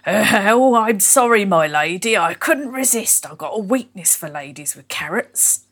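Storybook coal man, crude and lecherous, growling and husky, played by a woman. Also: medium pitch, animated, moderate pace, a bit bright. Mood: sheepish. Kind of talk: bawdy period storybook.

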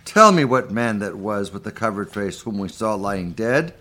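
A man's voice: on the low side, lively intonation, moderate pace, sharp tone, slight background noise. Man acting an emphatic, theatrical old miser, swooping delivery. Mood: fearful pleading. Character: repentant old miser, pleading, then giddily joyful. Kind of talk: live radio-play acting of a Victorian story.

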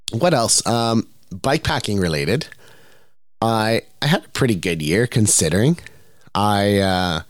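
A man hosting his own podcast, stuffed up with a cold, talking in a relaxed, rambling way with lots of fillers. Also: low voice, dry recording, clean studio sound. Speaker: podcast host. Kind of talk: casual solo podcast monologue.

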